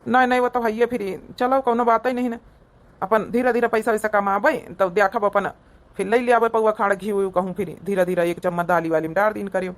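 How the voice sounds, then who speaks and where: A woman's voice: mid-range voice, fairly even intonation, fairly quick, lightly acted. Woman voicing a consoling Awadhi village husband. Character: ailing, consoling husband and father of a village family. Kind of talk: cartoon comedy voice acting.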